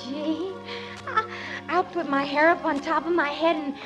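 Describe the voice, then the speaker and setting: A girlish, wide-eyed dishwasher in a vintage radio musical, sweet and eager. Her voice is high and unhurried, with a breathless wistfulness. Loud orchestral music colors the old broadcast. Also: steady tone, dull audio. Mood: wistful, eager. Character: sweet, eager, wistful young working-class dishwasher girl. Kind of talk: 1950 American radio musical comedy acting.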